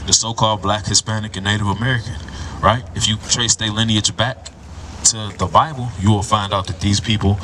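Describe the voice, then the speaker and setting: A man's voice: fairly low pitch, steady tone, a little fast, sharp tone. Man preaching outdoors, lecturing tone. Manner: lecturing, asks "right?" again and again. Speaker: street preacher. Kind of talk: street preaching to a passerby. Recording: street noise, mic at a distance.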